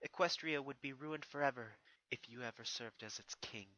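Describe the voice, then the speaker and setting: A man's voice: medium pitch, moderate pace, sharp tone, no room sound, lightly acted, poor audio. A man voicing a regal, stern female pony princess who speaks in formal, solemn speeches with a wounded resolve. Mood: firm, reproachful.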